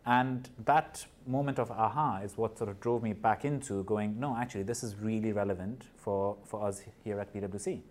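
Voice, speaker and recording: A man who grew up in Nepal and India speaks as a guest in a podcast interview. His voice sits slightly low. He talks earnestly and often stops to restart a phrase or repeat a word as he gathers his thoughts. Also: normal speed, hardly any pauses, darkish tone. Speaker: guest, corporate partner and mental health advocate.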